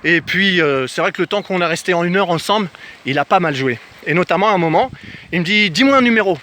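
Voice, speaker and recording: A man from France with a fairly high, bright and forward voice tells a travel anecdote as a vlogger. He talks casually and with animation, speaking straight to the viewer and slipping into reported dialogue. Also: fairly quick, a little hiss or hum. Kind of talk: casual personal storytelling to camera. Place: outdoors in the countryside.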